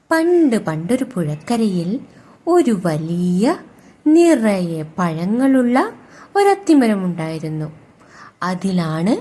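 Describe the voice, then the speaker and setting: A woman storyteller narrating a scripted bedtime story for young children in a drawn-out, expressive way. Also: medium pitch, husky.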